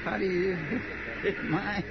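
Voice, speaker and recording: An actor playing a folksy, easily amused western sidekick with a drawling manner in a 1950s radio drama. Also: male voice, high-pitched, little pitch movement, unhurried, creaky, background noise. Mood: scornful amusement. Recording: dull 1950s radio broadcast.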